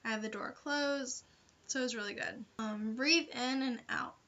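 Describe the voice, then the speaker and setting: A young woman talks in a bright, slightly high voice, as if giving friendly tips to viewers of her vlog. Her delivery is casual and chatty, full of informal hedges. The sound is a bit dull and lo-fi, like a simple home recording. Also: normal speed. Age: young adult. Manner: informal, hedging, frequent "like" and "you know". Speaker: vlogger giving interview tips.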